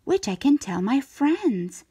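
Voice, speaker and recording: A restless little cartoon shape from a picture book, as a woman reader puts it on, always wanting more. It speaks in slow, emphatic, drawn-out words with animated, swooping pitch and a breathy edge. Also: exaggerated voice. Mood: eager, pleased.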